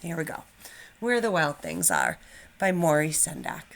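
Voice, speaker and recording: A woman's voice: low-pitched, huge pitch swings, normal speed. Woman reading aloud to young children. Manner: informal, encouraging, slightly nervous. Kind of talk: casual chat and expressive children's storybook read-aloud.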